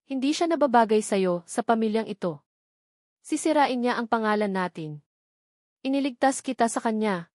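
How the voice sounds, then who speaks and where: A woman's voice: fairly high pitch, no echo, clean studio sound, natural voice. A woman voicing a haughty, controlling rich mother in a dramatic story, desperate, insistent and defensive.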